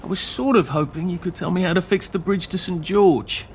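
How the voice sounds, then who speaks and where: A man's voice: high-pitched, a little fast, a little hiss or hum. An actor playing a mild, hesitant, wry English everyman adventurer in a video game, musing politely in a British accent. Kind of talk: video game character acting.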